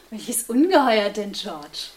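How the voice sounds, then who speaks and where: A woman's voice: mid-range voice, darkish tone, breathy edge, exaggerated voice. An actress playing a patient wife who placates and reassures her husband in a radio drama. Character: patient, gently soothing wife of a paranoid man. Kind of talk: German radio drama acting.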